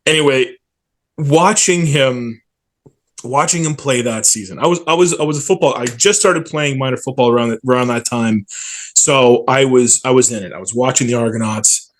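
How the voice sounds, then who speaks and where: A man's voice: medium pitch, moderate pace. A man in his forties telling stories casually and enthusiastically as an interview guest, in colloquial language. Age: 40s. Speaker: stadium PA announcer, interview guest.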